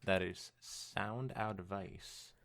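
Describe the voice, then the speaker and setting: A man's voice: low-pitched, drawn-out words, fairly bright tone. A man co-hosting a casual podcast, reading a story aloud and making dry, teasing remarks. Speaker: podcast co-host.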